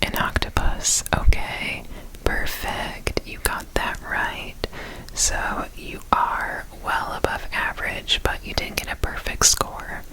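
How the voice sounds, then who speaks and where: A woman whispering an ASMR roleplay as an examiner, gentle and reassuring, repeating words softly in a breathy whisper. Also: slow pace, rough recording. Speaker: ASMR performer playing an examiner.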